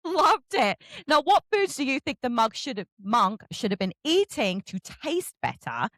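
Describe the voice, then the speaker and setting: Woman interviewer, kinda high voice that swings all over, gushy and chatty about books. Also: a little slow, no echo, studio quality. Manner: effusive, expressive. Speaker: interviewer, book show host. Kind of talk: casual enthusiastic interview chat.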